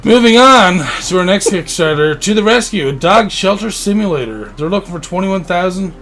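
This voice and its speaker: A man's voice: high voice, animated, moderate pace, fairly bright tone, faint music behind. A man co-hosting a podcast, talking in an informal, slangy way as casual banter. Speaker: podcast co-host. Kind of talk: casual banter about gaming news.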